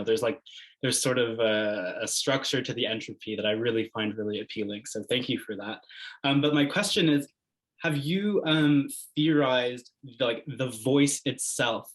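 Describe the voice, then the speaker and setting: A man's voice: fairly low pitch, poor audio. Man on a video call asking a long, hesitant question at a lecture Q&A, full of ums and restarts. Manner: hesitant, many fillers and restarts. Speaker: seminar attendee asking a question. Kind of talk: online lecture Q&A.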